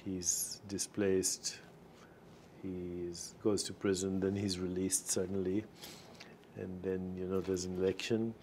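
A man in his sixties hosting a studio interview, asking measured, inquisitive questions with short affirmations. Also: low voice, level tone, darkish tone, slight background noise. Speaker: interview host. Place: studio set with screens behind.

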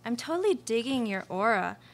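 A woman's voice: a little high, very animated, brisk. A young woman playing a cheerful New Age hippie in a radio comedy, breezy and gushing, peppering her talk with filler slang. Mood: dreamy, cheerful. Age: young adult. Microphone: stage microphone on stand.

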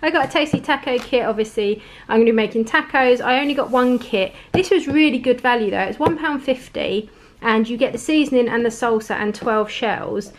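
A woman's voice: fairly high pitch, a little fast, slight background noise. A woman in a casual, rambling grocery haul chat, laughing now and then while showing off her shopping at home. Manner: chatty, rambling, laughs often. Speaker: vlogger. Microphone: camera pointed at the table, speaker off screen.

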